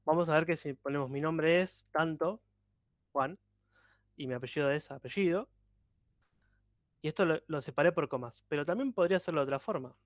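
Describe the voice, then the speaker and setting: A man's voice: a little high, fairly quick, long pauses, no echo, clean studio sound. A man, teaching code in an online workshop with patient, step-by-step explanations over a video call. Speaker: programming instructor.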